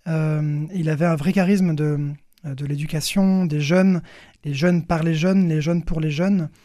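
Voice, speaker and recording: A man's voice: fairly high pitch, steady tone, measured pace, dark tone, no echo, clean studio sound. A young man, a priest being interviewed, explains things in hesitant phrases, repeating words as he searches for the next. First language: French. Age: young adult.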